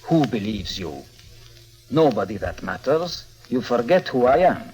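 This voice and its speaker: An actor playing a domineering, menacing tycoon with a criminal past in a vintage radio drama, curt and imperious. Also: male voice, medium pitch, very animated, a little slow, darkish tone, husky, faint music behind, low-quality recording. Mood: threatening. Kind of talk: mid-century radio drama acting.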